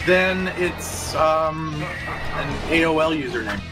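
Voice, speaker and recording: Male streamer, high-pitched voice, jokey and stammering. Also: slow, over loud music. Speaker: co-host on a gaming stream. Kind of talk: casual banter.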